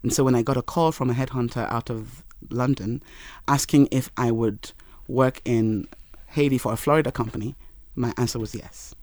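A man, a Yoruba speaker, telling his life story in an interview in an earnest, measured way. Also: medium pitch, fairly even intonation, darkish tone, no room sound. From Nigeria. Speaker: interview guest.

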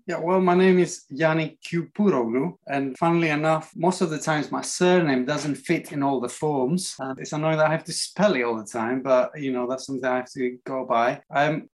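A man's voice: a little high, moderate pace, hardly any pauses. A man from Greece, talking openly and reflectively as a podcast interview guest, with frequent fillers. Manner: open, reflective, many fillers. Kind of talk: casual interview conversation.

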